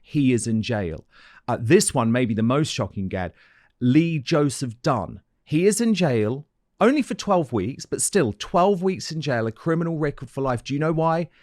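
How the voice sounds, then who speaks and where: A man's voice: mid-range voice, lively intonation, normal speed, darkish tone, dry recording, clean studio sound. A man hosting an interview and asking indignant questions. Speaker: host. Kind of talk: interview host.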